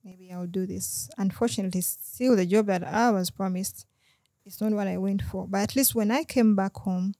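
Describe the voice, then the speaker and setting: A Ugandan woman with a Ugandan accent speaks in a warm, mellow tone at a middling pitch. She talks earnestly in casual conversation, leaning on "you know" and "exactly". The sound is dry and close, with little room behind her. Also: moderate pace, dry recording. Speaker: podcast guest.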